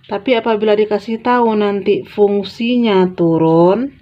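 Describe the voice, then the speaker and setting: A woman's voice: medium pitch, steady tone, unhurried. A woman explains math step by step in an informal, big-sisterly way, repeating key phrases as she writes. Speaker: math tutor. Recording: dull, lo-fi sound.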